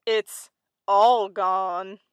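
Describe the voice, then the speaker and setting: A woman's voice: fairly high pitch, animated, measured pace, dry recording, lo-fi sound. A woman voicing a sly, greedy male cat in a fairy tale, with a dry, offhand drawl.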